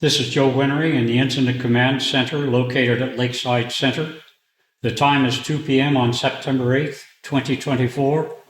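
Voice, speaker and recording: A man reading scripted instructional material and radio procedures in a deliberate, procedural way. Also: mid-range voice, flat delivery, poor audio. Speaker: training presenter and radio net controller in demonstration.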